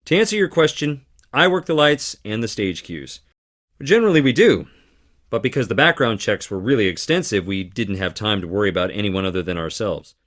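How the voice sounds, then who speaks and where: A man's voice: fairly low pitch, lively intonation, fairly quick, fairly bright tone. A man playing a wry, guarded young theatre worker in an adventure game, speaking conversationally. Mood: matter-of-fact. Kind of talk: scripted adventure game dialogue.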